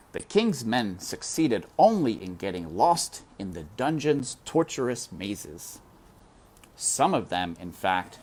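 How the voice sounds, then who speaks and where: A man reading a children's novel aloud, expressive and addressing the listener directly. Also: on the low side. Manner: expressive, speaks to the reader directly. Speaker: reader. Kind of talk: children's book read-aloud.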